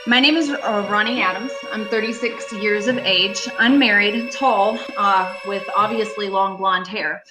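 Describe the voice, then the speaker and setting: A woman acting a haunted traveller in her thirties in an amateur radio thriller, urgent and halting, repeating phrases for emphasis. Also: medium pitch, natural voice, low-quality recording. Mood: tense, desperate. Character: haunted 36-year-old woman traveller, shaken but insisting she's sane. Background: music and rain.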